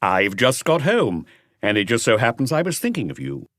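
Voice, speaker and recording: A man's voice: mid-range voice, huge pitch swings, normal speed, fairly bright tone, no room sound. Male narrator as a friendly doctor in a detective audiobook, sociable, bouncy intonation, dry sound. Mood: chatty. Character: male doctor, affable and familiar.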